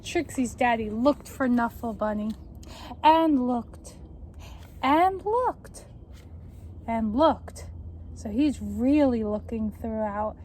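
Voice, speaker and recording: Young woman doing kids story time outside, high voice, slow and expressive, bit of street noise. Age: young adult. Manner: deliberate, expressive, explains and prompts. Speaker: tutor reading a children's book aloud. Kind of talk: children's read-aloud with questions to listeners. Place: outdoors on a front step by a house door. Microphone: camera at a short distance. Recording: faint outdoor street noise.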